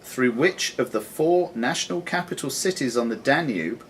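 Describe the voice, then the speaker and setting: Male quizmaster with lively pitch, noisy room. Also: normal speed. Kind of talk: reading quiz questions aloud. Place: sports and social club room. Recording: noisy live recording in club room.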